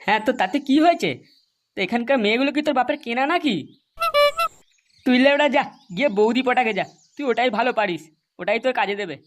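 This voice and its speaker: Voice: medium pitch, animated, fast, very noisy, lightly acted. A performer voicing a crude, mocking young village man in a comedy cartoon, scolding and taunting as he talks.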